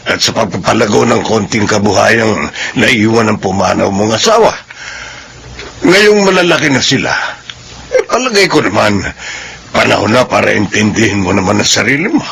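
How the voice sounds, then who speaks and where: A gravelly, caring older brother. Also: male voice, fairly low pitch, expressive, normal speed. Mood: gentle. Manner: gently advising. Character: older man, caring elder brother and uncle. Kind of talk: classic radio drama acting. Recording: noisy old radio drama recording.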